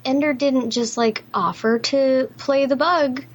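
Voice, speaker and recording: A woman's voice: high-pitched, fairly even intonation, measured pace. A woman co-hosting a podcast, discussing a film casually and giving her opinions plainly. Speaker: podcast co-host.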